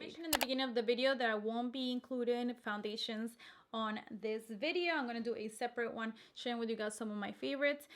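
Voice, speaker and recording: A young woman from Colombia whose first language is Spanish, chatting enthusiastically in a casual product review vlog. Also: a little high. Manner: chatty, enthusiastic, self-correcting. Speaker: beauty vlogger.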